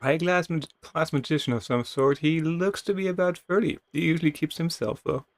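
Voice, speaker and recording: A man's voice: a little high, a little fast, dry recording. A man reading a video game's story aloud as a dramatic narration, with playful casual asides. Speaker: video game streamer reading game text aloud.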